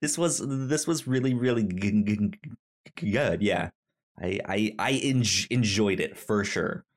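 A man co-hosting a podcast, casually discussing movies in a halting way, often repeating syllables and the starts of words. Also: on the low side. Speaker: podcast co-host.

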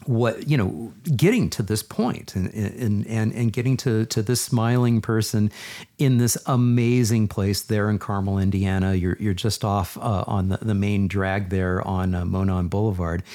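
A man's voice: on the low side, measured pace, a little mellow. A man hosting a podcast, chatting in an effusive, enthusiastic way and repeating words for emphasis in a casual interview. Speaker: podcast host. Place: video call recording.

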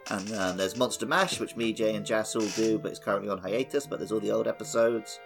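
A man with a fairly low voice co-hosts a gaming podcast. His talk is informal and colloquial, with faint music behind him. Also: fairly bright tone. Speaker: podcast co-host. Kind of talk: casual gaming podcast chat.

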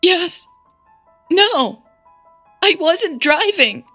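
A woman's voice: very high voice, lively intonation, very slow, pushed and bright, no echo, faint music behind, pitched above the performer's own voice, full character voice. A woman voicing a frightened, breathless and upset woman on the phone in an audio drama. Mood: distraught. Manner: breathless, panicky, tearful. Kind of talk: audio drama character dialogue.

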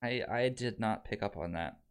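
A man's voice: lowish voice, moderate pace, smooth. A man co-hosting a podcast in casual review chat, enthusiastic, often stammering and repeating syllables as he goes. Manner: enthusiastic about the material. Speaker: podcast co-host reviewing fiction.

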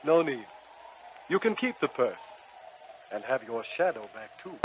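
An actor playing a suave devil who trades for souls, with smooth, wheedling sales patter, in old-time radio acting. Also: male voice, medium pitch, very animated, unhurried. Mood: sly. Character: suave smiling devil, a soul-trading salesman. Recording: dull old radio broadcast recording, faint hiss.

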